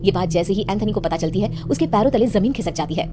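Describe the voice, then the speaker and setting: A woman's voice: medium pitch, expressive, quick pace, noisy background. A woman narrating a scripted horror cartoon story as its storyteller.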